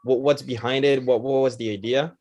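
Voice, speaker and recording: A man hosts a podcast and puts questions to his guest at a mid pitch. He trips over restarts and fills the gaps with fillers. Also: fairly even intonation, a little fast, darkish tone. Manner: hesitant, many restarts and fillers. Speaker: podcast host. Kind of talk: podcast interview.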